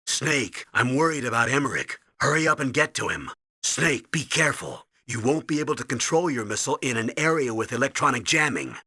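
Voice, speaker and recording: A man's voice: medium pitch, animated, brisk, sharp tone, breathy edge, dry recording, clean studio sound. A man playing a stern, urgent middle-aged military commander in a video game, speaking with earnest, emphatic authority. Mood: instructing.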